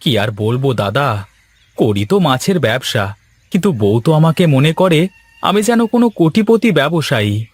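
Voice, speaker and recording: A man's voice: a little high, very animated, moderate pace, slightly rough. Man as a plaintive, henpecked cartoon husband. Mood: pleading. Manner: plaintive, complaining. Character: hapless, henpecked village fishmonger husband, forever grumbling. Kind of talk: cartoon voice acting.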